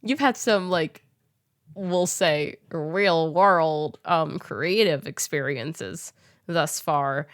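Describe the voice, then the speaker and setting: Young adult female podcast host, slightly low voice, close dry sound. Casual, giggly, halting enthusiasm. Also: dry recording. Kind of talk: casual interview chat.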